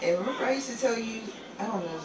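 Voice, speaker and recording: A man hosting a live game stream, chatting casually and laughing a lot in a laid-back way, sentences trailing off. He has a deep voice. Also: quick pace, a loud crowd. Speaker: livestream host. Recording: echoey room, far from mic, noisy.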